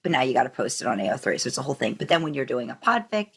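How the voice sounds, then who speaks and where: A woman's voice: a bass voice, very animated, rapid-fire, creaky, breathy edge. A woman hosting a podcast in casual conversation, chatty and informal in the way she talks. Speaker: podcast host and podficcer.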